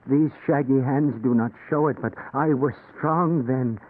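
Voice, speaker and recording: A man's voice: medium pitch, unhurried, natural voice. An actor playing a brooding Breton fisherman turned hermit, speaking earnestly in 1940s radio drama style. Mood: brooding, regretful. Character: fearful old ascetic hermit, once a strong Breton fisherman. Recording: noisy, narrow-band 1940s radio recording.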